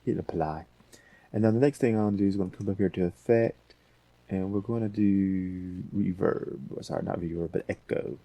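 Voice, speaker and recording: Deep, mellow man giving a tutorial. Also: steady tone, moderate pace, no room sound. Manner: unscripted, thinking aloud. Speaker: tutorial host. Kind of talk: casual screen-recorded software tutorial.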